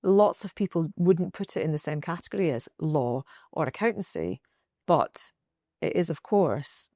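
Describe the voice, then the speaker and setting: A woman's voice: low-pitched, dark tone, dry recording, clean studio sound. A Scottish woman in her forties from the Shetland Islands, a podcast guest talking enthusiastically and rambling a little with restarts. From Shetland Islands, Scotland. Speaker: guest, fractional marketing chief. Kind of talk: casual interview chat.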